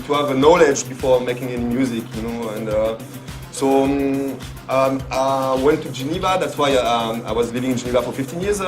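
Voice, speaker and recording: French man, halting casual English. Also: mid-range voice. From France. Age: 30s. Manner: hesitant, many ums and restarts. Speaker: guest DJ and producer. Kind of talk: casual interview.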